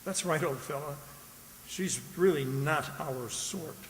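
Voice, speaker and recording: A man playing a courteous, fussy gentleman in a radio drama, polite and formal with a hesitant deference. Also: on the high side, takes it slowly. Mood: smoothly patronizing. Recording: reverberant hall with faint hiss.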